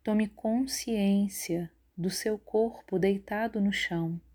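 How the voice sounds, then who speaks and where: A woman leading a guided yoga nidra relaxation in a calm, measured, instructive way. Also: mid-range voice. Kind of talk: guided relaxation meditation narration.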